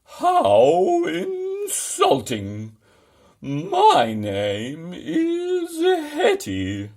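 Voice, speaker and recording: Storybook lady monster, played by a man: shrill falsetto, loud, huffy and very exaggerated. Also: wildly swooping pitch, extremely slow, exaggerated voice. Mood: indignant. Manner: exclaiming, over-the-top. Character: indignant female mountain monster, loud and shrill.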